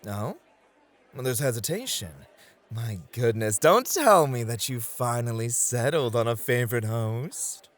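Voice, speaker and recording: A man's voice: mid-range voice, animated, measured pace, lightly acted. Guy playing a suave flirty club host, takes his time, voice swoops around a lot, teasing and courteous asmr roleplay. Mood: teasing, welcoming. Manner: smooth, teasing, theatrical hospitality.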